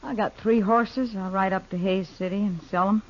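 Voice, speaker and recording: A woman's voice: medium pitch, steady tone, normal speed, close to an everyday voice. An actress playing a tough, self-reliant frontier ranch woman in a radio western, terse and plain-spoken. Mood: hurt, resolute. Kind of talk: 1950s radio western acting. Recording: dull old radio recording.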